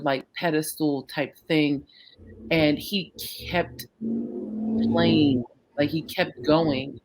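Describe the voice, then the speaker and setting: Agreeable co-host, medium-pitched conversational voice. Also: low-quality recording. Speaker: co-host of a reaction show. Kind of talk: conversational reaction commentary.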